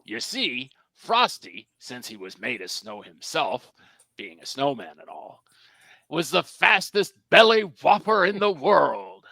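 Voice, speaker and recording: A man's voice: fairly high pitch, sing-song, measured pace, vocal fry, pushed and bright, dry recording. A man narrating a cartoon table read in a theatrical, folksy storybook style with emphatic phrasing.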